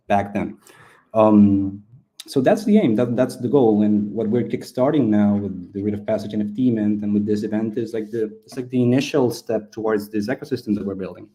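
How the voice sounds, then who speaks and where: A man speaks in a fairly low, very mellow voice. He talks casually, like a founder in an interview, circling back and repeating words as he explains. Spanish is his language. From Chile. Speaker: game studio founder and interview guest.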